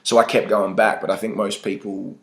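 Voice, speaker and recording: A young Australian man speaks in a low voice with a gravelly, creaky edge. He talks informally in slang, as if chatting to a documentary camera about his own experience. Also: level tone, normal speed. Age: young adult. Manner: informal, slangy, mild swearing. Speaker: battle rapper being interviewed. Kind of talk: casual documentary interview.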